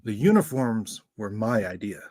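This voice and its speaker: A man's voice: fairly low pitch, big pitch swings, a little mellow, dry recording, clean studio sound. A man playing a composed, authoritative professor leading a team of heroes in a radio play. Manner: measured, authoritative.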